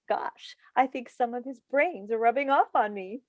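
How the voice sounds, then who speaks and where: A woman's voice: fairly high pitch, slow pace, no room sound, highs cut off. An actress playing an exasperated middle-aged talk show host and mother in a staged play reading over a video call. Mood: earnest, rueful.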